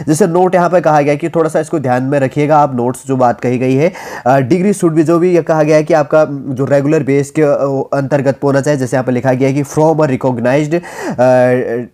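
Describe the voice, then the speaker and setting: An Indian man presenting as a coaching instructor, reading out a notice and explaining it informally in halting, repetitive phrases mixed with English terms. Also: medium pitch, dark tone.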